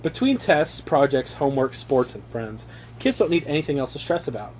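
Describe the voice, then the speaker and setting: A man's voice: mid-range voice, very animated, fairly quick, darkish tone. A young man reading aloud to people he knows in a mock-earnest, sweary, jokey way, slipping into casual asides. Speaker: reader among his brothers. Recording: noisy amateur home recording.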